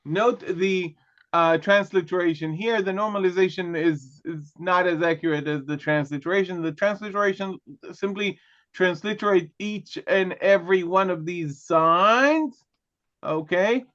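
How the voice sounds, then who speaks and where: A man teaching a class, a native Hebrew speaker who lectures in a relaxed way, joking and often checking in with "okay" and "right". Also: high-pitched, measured pace, lo-fi sound.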